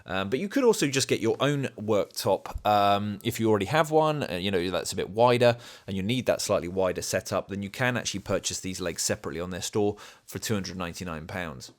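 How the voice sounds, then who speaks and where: A man's voice: lowish voice, lively intonation, a little fast. A man with a cold, his voice a bit thicker than usual, hosting an easygoing product review at home and explaining the features step by step. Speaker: channel host reviewing a product. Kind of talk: casual product review to camera. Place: home dining room.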